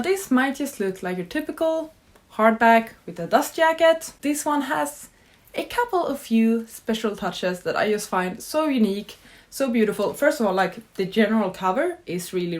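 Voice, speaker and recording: A young woman from Sweden, gushing about books in an excitable, casual vlog full of "like" fillers. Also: medium pitch. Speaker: book vlogger. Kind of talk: casual book tag vlog.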